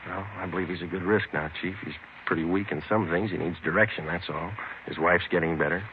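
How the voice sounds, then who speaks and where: An actor playing an earnest, weary American police sergeant in a 1950s radio drama, speaking in a clipped, matter-of-fact way. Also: male voice, sits low, fairly even intonation, fast, a little hiss or hum, close to an everyday voice. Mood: subdued, earnest. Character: earnest, weary male police detective sergeant narrating his case. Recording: lo-fi old radio recording with music.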